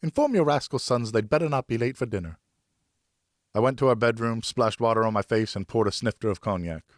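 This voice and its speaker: A man's voice: fairly low pitch, dry recording, studio quality. A man reading a novel aloud as an audiobook narrator, in scripted first person.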